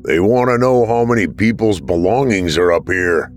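A man's voice: low-pitched, moderate pace, faint music behind, close to an everyday voice. A man voicing a weary, short-tempered father in a story. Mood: reporting.

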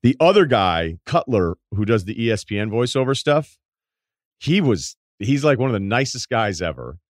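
A man with a deep voice hosting a podcast, telling rambling stories in casual chat and laughing at his own anecdotes. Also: sing-song, normal speed, no echo, studio quality. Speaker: podcast host.

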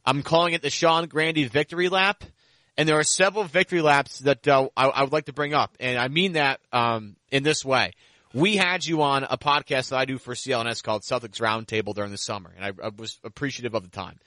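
A man's voice: medium pitch, brisk, pushed and bright, no room sound. A man hosting a sports podcast, talking in a conversational, wordy way as he introduces and interviews. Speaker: podcast host.

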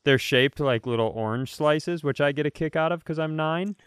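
A man's voice: fairly high pitch, moderate pace, clear, resonant tone, no room sound. Self-mocking man bantering, clean dry sound. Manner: self-mocking, rambling storytelling with playful exaggeration. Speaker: comedy podcast co-host. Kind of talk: casual comic banter.

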